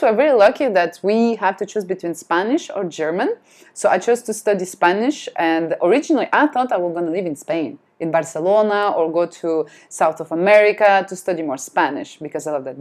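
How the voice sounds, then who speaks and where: A woman whose first language is Russian, chatting as a podcast guest in a lively, self-deprecating way. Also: mid-range voice. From France. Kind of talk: casual chat.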